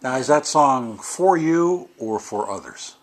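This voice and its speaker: A man interviewing a musician off camera, asking brief, relaxed questions and nodding along with "uh-huh". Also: mid-range voice, takes it slowly.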